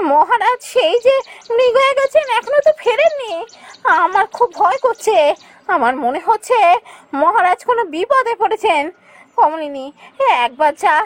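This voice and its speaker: A woman's voice: very high voice, voice pushed up. A woman voicing a scheming cartoon queen who pleads insistently and dramatically, feigning worry.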